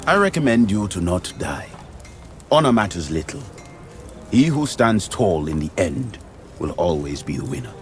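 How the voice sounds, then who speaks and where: Deep-voiced, grim gladiator. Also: male voice, very animated, normal speed, faint music behind. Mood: stoic. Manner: terse, solemn. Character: grim, blunt Mauretanian gladiator, proud loner. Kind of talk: scripted game dialogue.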